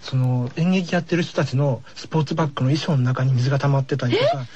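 ラジオのゲストとして、丁寧に淡々と体験を語る三十代の男性。. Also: male voice, mid-range voice, big pitch swings, quick pace, darkish tone, a little hiss or hum. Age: 30s. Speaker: guest horror manga artist. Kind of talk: casual radio interview, recounting experiences. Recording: dull lo-fi radio recording.

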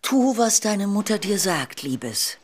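A fragile, anxious mother in a radio play. Her voice is soft and husky with breath, and she coaxes affectionately, as if to a small child. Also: medium pitch, big pitch swings, slow. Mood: fond coaxing. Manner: coaxing, affectionate. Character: tender, nervous, ailing mother of a small child. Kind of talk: radio drama acting.

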